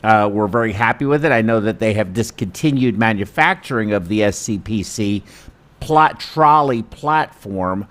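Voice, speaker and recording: A man hosting an informal instructional webinar, talking in a chatty, self-deprecating, halting way. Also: lowish voice, fairly bright tone. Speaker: host and perfusionist instructor. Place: studio.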